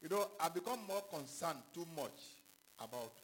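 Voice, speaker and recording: A man preaching a sermon, halting and repetitive, with questions to the congregation. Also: a little high, animated, a bit bright, a little hiss or hum. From Ghana. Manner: halting, repeats himself, calls out questions to the congregation. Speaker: pastor.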